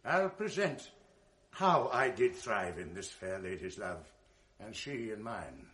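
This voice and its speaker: A man's voice: lowish voice, expressive, takes it slowly, a bit bright. A man playing a proud, eloquent middle-aged general in a 1980s radio drama, delivering sweeping declamatory verse. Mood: storytelling.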